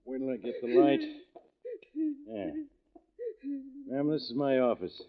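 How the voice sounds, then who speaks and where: An actor playing a concerned, plain-spoken small-town sheriff in a 1940s radio mystery. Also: male voice, medium pitch, a little slow, a crowd in the background, close to an everyday voice. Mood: obliging. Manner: plain-spoken, reassuring, folksy. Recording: thin, phone-like vintage radio recording.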